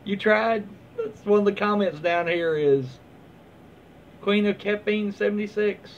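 A middle-aged man reads stories aloud casually, like a home video host. His voice sits a little high for a man, and his delivery is informal and halting. The recording is somewhat dull, with faint background noise. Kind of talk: casual read-aloud with off-the-cuff comments. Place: small room at home, indoors, seated in armchair.

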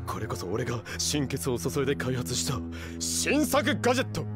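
A man's voice: mid-range voice, huge pitch swings, moderate pace, over loud music. An actor playing a pompous, theatrical young man who styles himself a mad scientist in an anime radio drama. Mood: boastful, grandiose. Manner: grandiose, theatrical declarations.

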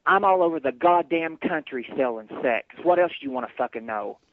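A man's voice: a little high, expressive, brisk, dry recording. A man arguing on a phone call, hostile, irritated and swearing heavily. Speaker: adult store manager answering the phone. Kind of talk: heated phone argument. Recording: dull lo-fi phone line.

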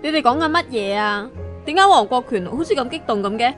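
A woman's voice: high-pitched, lively intonation, a little fast, soft background music. A woman playing a blunt, exasperated young woman with a dismissive manner in a comic radio drama.

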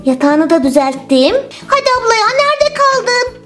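A woman's voice: very high voice, sing-song, normal speed, loud music behind the voice. A woman voicing an eager, whiny little girl who pleads and complains in a children's toy story. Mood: busy, impatient.

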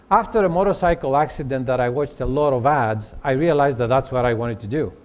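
A man, giving a motivational talk to students with animated storytelling and frequent fillers and restarts. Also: medium pitch. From Greece. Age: 40s. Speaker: conference speaker.

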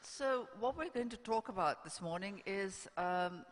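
An older woman moderating a panel on stage, reading prepared introductions in a halting, measured way. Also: medium pitch, lively intonation. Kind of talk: scripted introductions read to a festival audience. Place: on stage in a large auditorium. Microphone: stand microphone on stage. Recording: echoey hall, stage microphone.

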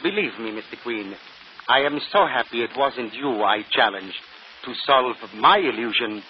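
A man's voice: medium pitch, animated, moderate pace, natural voice. A man playing a gracious, humble stage magician in 1940s radio drama style. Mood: gracious, relieved. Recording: muffled, noisy old radio broadcast.

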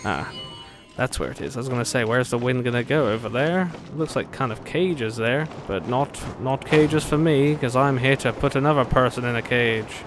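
A man's voice: mid-range voice, moderate pace. A man giving casual, joking let's-play commentary, playful and quippy with sing-song asides. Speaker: video game let's-player. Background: game music.

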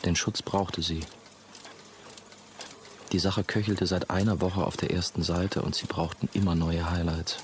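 An actor playing a brooding monastery novice who narrates his own story in a measured, musing, dry way. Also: low-pitched, level tone, a little hiss or hum. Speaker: narrator and main character. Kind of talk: first-person radio play narration.

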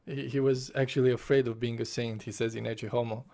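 A man's voice: medium pitch, level tone, fairly quick, gravelly, warm tone. A young man, talking through a book review in his bedroom into a desk mic, passionate and restarting his phrases. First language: Romanian. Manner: passionate, gestures with both hands, restarts phrases. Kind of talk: casual spoken book review. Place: small bedroom at home. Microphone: desk microphone in front of face, webcam framing.

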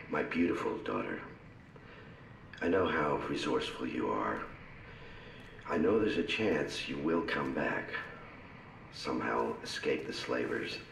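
An actor playing a tender, weary older father in a video game, speaking haltingly and solemnly in a gravelly voice. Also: rumbling low, fairly bright tone, heavily acted. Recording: roomy, distant, faint background noise.

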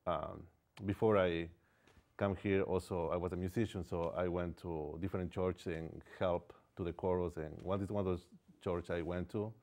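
This is a man in his forties with a deep, low voice and an Ecuadorian Spanish accent. He speaks as a guest in a small TV studio, picked up on a lapel mic. His English comes slowly and haltingly as he searches for words. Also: steady tone, darkish tone. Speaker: guest artist. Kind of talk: casual interview chat.

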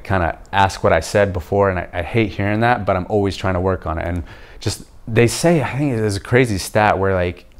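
A young man speaks in a low, deep register, talking straight to the camera in a home kitchen. His delivery is casual and explanatory, with easy pauses and frequent "okay?" check-ins. He sounds like a vlogger reviewing a book for his channel. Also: clean tone. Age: young adult. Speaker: video host reviewing a book. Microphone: camera facing him at chest-up framing.

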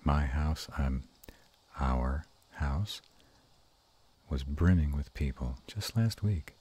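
A man playing a gruff but tender were-dragon lover in an intimate romantic audio roleplay, murmuring slowly and haltingly in a gravelly, husky voice. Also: rumbling low, expressive, warm tone, heavily acted. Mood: tender, relaxed.

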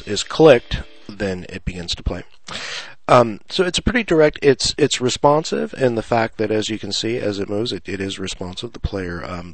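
A man with a fairly low voice talks through a software tutorial in a casual, explanatory way. He goes step by step without a script, and the recording is dry and close. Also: no room sound. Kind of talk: unscripted screencast walkthrough.

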